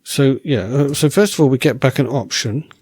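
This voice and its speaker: A man thinking aloud while writing code as a programming tutor, relaxed and wry, correcting himself as he goes, and losing his voice. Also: medium pitch, moderate pace, husky, dry recording, clean studio sound.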